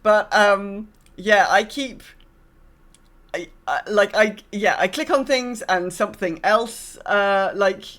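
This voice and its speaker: Woman podcast cohost, medium pitch, casual gamer chat, rambly and halting, drops sentences halfway. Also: a bit bright. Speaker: podcast co-host. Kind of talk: casual chat about gaming.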